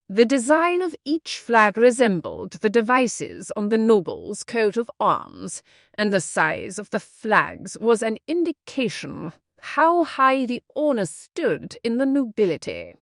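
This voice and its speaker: Female narrator for exam read-aloud material: measured delivery, lively intonation, close dry recording. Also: fairly high pitch, creaky, no room sound.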